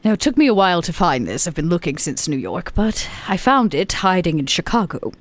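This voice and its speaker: A woman playing a shrewd, composed female vampire in a tabletop roleplay, speaking in measured, formal phrases. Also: lowish voice, very animated, no echo. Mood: gracious, businesslike. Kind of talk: tabletop roleplay actual play.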